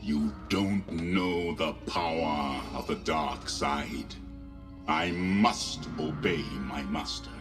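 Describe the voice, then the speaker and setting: An imposing dark lord in armor, with an extremely deep voice and very slow, commanding speech. It echoes from a distance in a solemn film scene. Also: male voice. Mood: grim. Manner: commanding, measured. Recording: distant echoing film audio, loud music.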